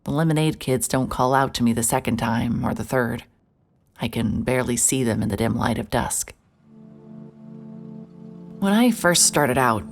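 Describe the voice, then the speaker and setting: Woman, very low voice, dry wry first-person narration, world-weary investigator, music underneath. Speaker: narrator and lead character, a private investigator.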